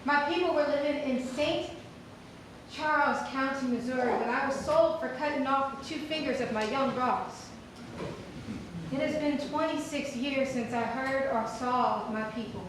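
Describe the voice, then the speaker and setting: High-voiced woman, very echoey distant reading. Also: normal speed. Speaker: performer reading historical newspaper ads. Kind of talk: staged dramatic reading. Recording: very echoey hall from far off, noisy.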